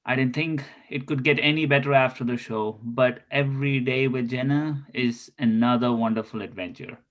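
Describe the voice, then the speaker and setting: Male actor as gentlemanly spy, thirties, stilted formal phrasing, earnest, medium pitch, scripted drama read. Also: steady tone, moderate pace, natural voice. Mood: devoted.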